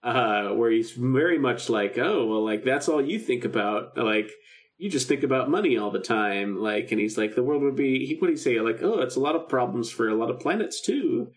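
A man with a mid-pitched voice co-hosts a podcast in a loose, chatty way. He retells scenes informally and animatedly, slipping into mock dialogue as he goes. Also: lively intonation, normal speed. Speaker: podcast co-host. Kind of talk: casual chat about a film radio drama.

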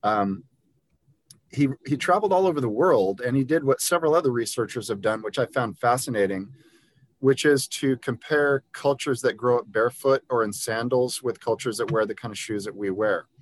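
A man speaking at a middle pitch, earnest and explanatory as a podcast guest. He talks in long, careful stretches, often pausing on ums and starting his sentences again. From Liberia. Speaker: podiatrist guest. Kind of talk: conversational interview.

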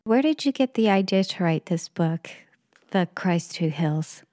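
A woman's voice: fairly low pitch, lively intonation, unhurried, warm tone, dry recording, studio quality. A woman hosting a podcast, asking her guest friendly, direct questions. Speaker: podcast host. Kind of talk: podcast interview.

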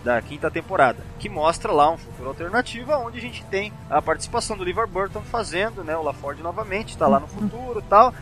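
A man's voice: high-pitched, expressive, quick pace, few pauses, a bit bright, faint music behind. A Brazilian man hosting a casual podcast, chatting enthusiastically and swearing freely. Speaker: podcast host.

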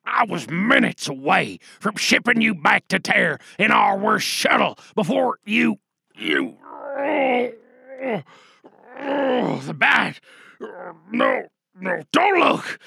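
A man playing a dying, infected space commander, gruff and desperate, barking strained orders with a rasping voice in a sci-fi audio drama monologue. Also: high voice, very animated, slow pace, bright, forceful tone, no room sound, studio quality, pitched above the performer's own voice, full character voice. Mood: agonized. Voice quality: gravelly, rasping.

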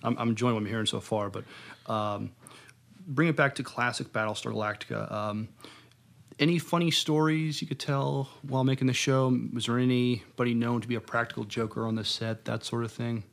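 A man's voice: mid-range voice, fairly even intonation, brisk, creaky, fairly bright tone. A man hosting a podcast interview in a casual, informal and somewhat hesitant way.

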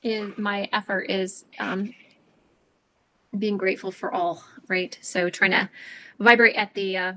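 A woman co-hosting a casual chat, calmly explaining breathing practice. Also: medium pitch, unhurried, low-quality recording.

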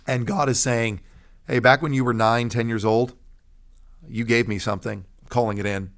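A man's voice: medium pitch, fairly bright tone. A middle-aged American man giving a personal testimony in an interview, speaking emphatically like a preacher in short, repeated phrases. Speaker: pastor guest telling his testimony.

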